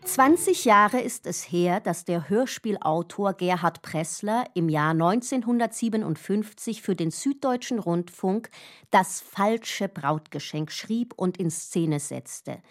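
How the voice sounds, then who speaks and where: An actress playing a sober radio announcer, delivering scripted narration in a measured, formal manner. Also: female voice, mid-range voice, animated. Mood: matter-of-fact. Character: sober female radio announcer introducing archive drama.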